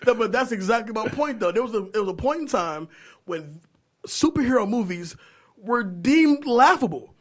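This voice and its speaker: High-pitched man in casual podcast chat. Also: normal speed. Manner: animated slangy talk, repeats phrases for emphasis. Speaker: podcast co-host. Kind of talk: casual pop-culture chat.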